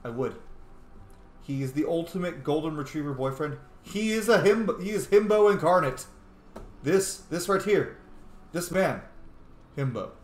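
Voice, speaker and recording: A man's voice: a little high, animated, moderate pace, pauses often. A man giving casual, playful and opinionated commentary while roleplaying through a video game, swearing freely. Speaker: game streamer. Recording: roomy echo, clear background noise.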